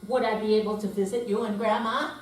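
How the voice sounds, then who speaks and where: A woman's voice: mid-range voice, level tone, brisk, close to an everyday voice. A woman playing a trusting young grandchild, curious and sad, with a simple questioning manner on stage. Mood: curious, worried. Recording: very echoey room, distant, faint hum.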